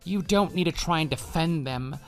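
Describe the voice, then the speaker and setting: A man's voice: a little high, moderate pace, lightly acted. Radio drama suitor: actor as lovesick, deluded young man, insistent and pleading, music bed. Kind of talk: scripted radio drama dialogue.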